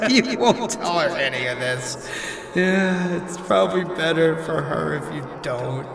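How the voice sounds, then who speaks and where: A man playing a doomed, haunted narrator recording his testimony in a horror audio drama, halting and distraught. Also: fairly high pitch, lively intonation, vocal fry, noisy background. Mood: unhinged, sorrowful. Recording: roomy, slightly distant voice with music.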